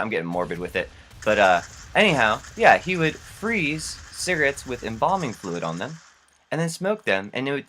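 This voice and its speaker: Young guy gamer vlogger just rambling stories, slangy, kinda animated and disbelieving, normal mid voice. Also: medium pitch, slight background noise. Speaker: gaming vlogger telling stories. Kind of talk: casual rambling storytelling over gameplay.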